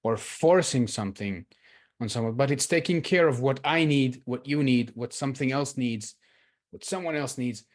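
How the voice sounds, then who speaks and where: A man from Israel shares his experience with a group during an online session. He talks in an earnest, reflective way, pausing with fillers, then pressing hard on the points he cares about. His voice sits in a middle register, heard through a video call. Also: medium pitch. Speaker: workshop participant. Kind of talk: personal sharing in a group discussion.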